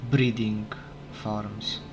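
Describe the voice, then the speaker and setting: A man with a slightly low voice, talking slowly and thinking out loud as he plays. English is not his first language, and he often stops to correct himself. Game music runs clearly underneath his voice. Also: flat delivery. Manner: unhurried, thinking aloud, self-correcting. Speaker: let's play gamer. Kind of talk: casual gameplay commentary. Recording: game music playing behind.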